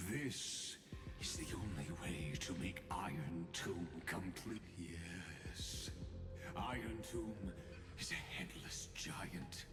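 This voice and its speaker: A man voicing a cold, scheming sage in a video game, speaking in a slow, theatrical, menacing manner. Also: sits low. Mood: grave, intense. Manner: slow, deliberate, theatrical delivery. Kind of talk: dramatic video game dialogue. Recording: long reverb, music underneath.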